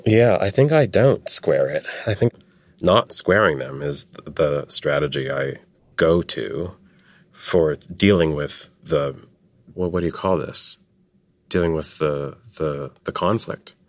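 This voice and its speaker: A man's voice: rumbling low, takes it slowly, no echo. A man interviewed as a guest, searching for words and restarting as he thinks.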